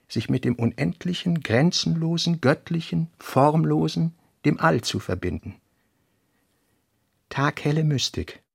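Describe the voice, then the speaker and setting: A man reading literary notes for a radio play in a measured, sober manner. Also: on the high side, creaky, darkish tone, slightly rough, no echo, clean studio sound.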